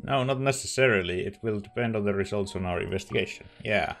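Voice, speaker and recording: Guy with a lowish voice doing a lets play, thinking out loud, bit of game music. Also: fast, vocal fry. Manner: musing, reacting aloud. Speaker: let's play host. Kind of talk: casual game commentary.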